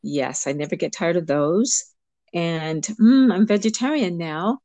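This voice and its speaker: A woman's voice: lowish voice, no room sound. Middle-aged cuban-born coach lady, thoughtful, lots of ums, dry mic. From Cuba. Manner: warm, reflective, pauses with ums. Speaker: empowerment coach, guest. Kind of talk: interview conversation.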